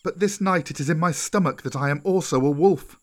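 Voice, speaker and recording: A man's voice: fairly high pitch, fairly quick, voice pushed up, lightly acted. A man voicing a bold, fervent young man raised by wolves in a children's storybook. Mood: determined.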